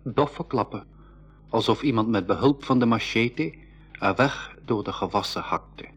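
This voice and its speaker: An actor playing a storyteller who recounts an adventure in scripted school radio narration. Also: male voice, mid-range voice, fairly bright tone, slightly rough. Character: male storyteller recounting his own adventure. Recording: dull lo-fi radio recording with music.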